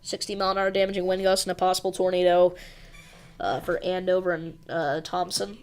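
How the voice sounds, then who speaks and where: Brisk woman reading storm warnings live. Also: lowish voice, level tone, a little mellow, clean tone. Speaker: weather livestream host. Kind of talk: live severe weather coverage.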